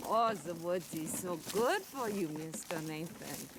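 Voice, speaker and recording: A woman's voice: on the low side, animated, measured pace, background noise. A woman playing a Russian carer, deferential yet stubborn, with a rambling, emphatic and formal way of talking in improvised comedy. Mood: earnest, reassuring. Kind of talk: improvised comedy role-play.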